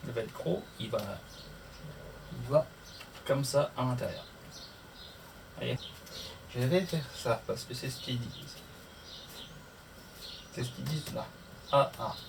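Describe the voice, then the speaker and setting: Slow-paced man, distant noisy recording. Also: medium pitch. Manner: muttering to himself while working through steps. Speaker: motorcycle vlogger. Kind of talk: casual hands-on tutorial chat to camera. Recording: distant mic, clear background noise.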